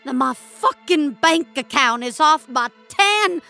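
A woman's voice: high voice, expressive, slow pace, pushed and bright, faint music behind, pitched above the performer's own voice, heavily acted. A woman playing an angry, nostalgic elderly woman in an audio drama, speaking emphatically and wistfully with plenty of swearing. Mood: defiant, angry. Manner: emphatic, wistful, foul-mouthed. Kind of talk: dramatic audio fiction monologue.

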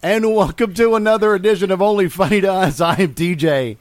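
A man's voice: high-pitched, brisk, over music. A man hosting a casual comedy podcast, asking playful, teasing questions and laughing often. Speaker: podcast host. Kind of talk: casual chat.

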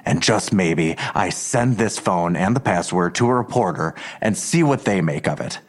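A menacing police detective in an audio drama. The voice is low, and the questioning is controlled and unhurried. He taunts coolly and insinuates. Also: animated. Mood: taunting.

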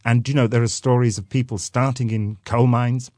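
A man's voice: medium pitch, flat delivery, normal speed, darkish tone, no echo. A man from North Wales with a Welsh accent, explaining things as a guest expert in a radio interview, with dry wit.

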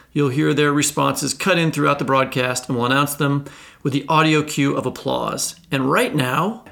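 A man's voice: fairly high pitch, vocal fry, a bit bright. A man co-hosting a literary podcast, introducing guests and asking questions in an upbeat, joking way. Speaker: podcast co-host. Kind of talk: podcast interview.

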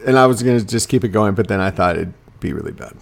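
A man co-hosting a casual sports podcast, chatting in an animated, bantering way. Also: fairly low pitch, fast, vocal fry.

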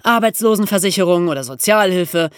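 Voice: mid-range voice, animated, a little fast, clear, resonant tone, no room sound, studio quality. A podcast presenter explaining history as a story, with dramatic emphasis and rhetorical questions.

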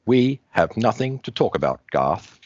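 A man voicing a firm young superheroine who talks briskly and sternly. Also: lowish voice, very animated, a little mellow, dry recording. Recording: dull lo-fi dry recording.

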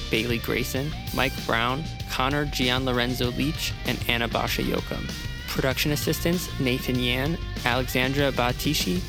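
A man's voice: mid-range voice, creaky. A man reading scripted production credits as an announcer.

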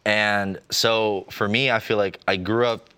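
A man's voice: on the low side, steady tone, measured pace, bright, forceful tone, clean tone. A man chatting casually on a podcast, telling a rambling story with lots of fillers. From United States. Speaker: podcast co-host. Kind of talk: casual chat.

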